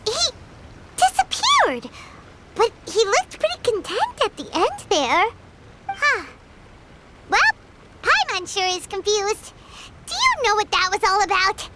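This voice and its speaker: A woman voicing a tiny, excitable childlike fairy girl companion in a video game, with a squeaky voice. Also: takes it slowly, long pauses, very noisy, exaggerated voice. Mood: puzzled. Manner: speaks of herself by name, exclaiming.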